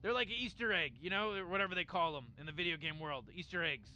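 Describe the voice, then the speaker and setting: A man's voice: sits high, quick pace, bright, forceful tone, dull audio. An actor voicing a cocky, rambling genius grandfather scientist in a video game, stammering and dismissive. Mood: smug. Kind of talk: game dialogue.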